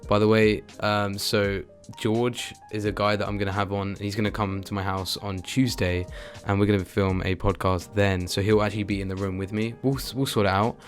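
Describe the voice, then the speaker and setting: A man's voice: lowish voice, level tone, brisk, hardly any pauses. A young man hosting a podcast, chatting casually and laid-back into a close desk microphone. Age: young adult. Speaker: podcast host. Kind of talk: casual podcast interview chat. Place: small dim room at home. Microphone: close desk microphone with headset. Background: soft guitar music.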